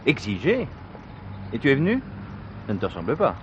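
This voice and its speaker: A man's voice: medium pitch, wildly swooping pitch, a little fast, muffled recording. An actor playing an exasperated police commissioner who protests impatiently to his wife in a drama. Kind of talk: French crime drama acting. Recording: noisy outdoor-like ambience.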